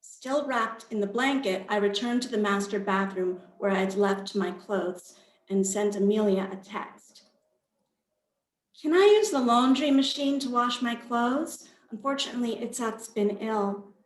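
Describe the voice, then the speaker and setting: A woman's voice: on the high side, a little slow. A woman author speaking at a literary event, reading her fiction aloud and talking about her work. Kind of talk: reading own fiction aloud, then panel talk. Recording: roomy echo.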